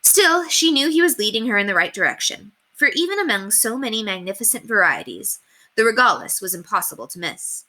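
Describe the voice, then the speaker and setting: A woman reading a children's novel aloud in an expressive, carefully enunciated storytelling manner. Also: fairly high pitch, brisk. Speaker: teacher reading a book aloud.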